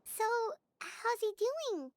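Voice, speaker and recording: A woman's voice: extremely high pitch, very animated, slow pace, no room sound, full character voice. A woman voicing a small, childlike, earnest fairy companion in a video game. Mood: earnest, concerned.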